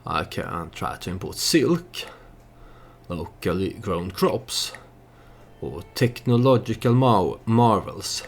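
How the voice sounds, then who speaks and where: A man hosting a let's play, giving storytelling game commentary in a halting way, as if thinking aloud. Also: deep voice, very animated, gravelly.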